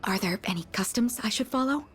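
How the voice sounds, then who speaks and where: A woman's voice: fairly high pitch, expressive, brisk, natural voice. An actress playing a well-bred, earnest young woman in a video game, speaking formally and politely with naive curiosity and the odd French phrase. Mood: earnest, unsure.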